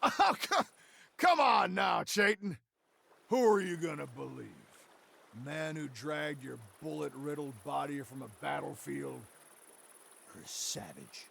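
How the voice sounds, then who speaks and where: An actor playing a crooked, greedy ex-sheriff of the Old West, with an American accent and a folksy, threatening way of talking. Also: male voice, a little high, unhurried, creaky, slightly rough, exaggerated voice. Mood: wheedling. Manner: folksy frontier drawl, sly and threatening. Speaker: villain in a game cutscene. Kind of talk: dramatic game cutscene acting.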